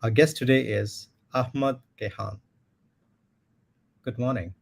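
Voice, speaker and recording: Male host, interview show, mid-pitched voice, formal greeting. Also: takes it slowly, vocal fry, breathy edge. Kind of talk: interview hosting.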